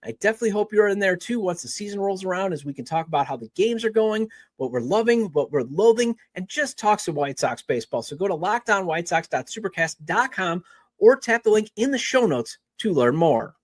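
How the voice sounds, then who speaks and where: A man with a notably high voice reads scripted podcast promos. He speaks as the show's host, in a dry recording with little room sound. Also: animated, fairly quick, no echo.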